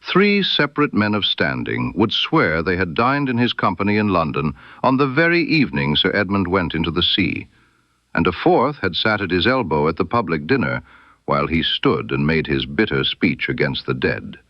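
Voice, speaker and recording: A man reading a scripted detective audiobook as Dr. Watson, in a measured, dramatic storyteller's manner. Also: sits low, big pitch swings, no room sound, muffled recording. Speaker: audiobook narrator.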